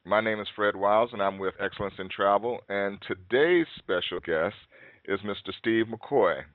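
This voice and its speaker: A male host running an interview, with a fairly low voice and a friendly, deliberate way of setting things up for listeners. The audio is dry and narrow-band, much like a telephone. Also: dry recording. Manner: friendly, explains for listeners, slow deliberate intros. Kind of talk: interview hosting. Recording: dry, tinny phone-like sound.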